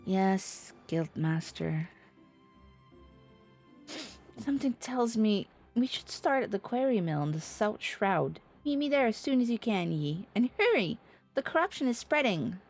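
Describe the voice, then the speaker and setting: A woman's voice: medium pitch, very animated, unhurried, a little mellow, faint music behind. A woman voicing an eager, earnest young girl apprentice healer in a fantasy game. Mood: urgent. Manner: pleading, earnest.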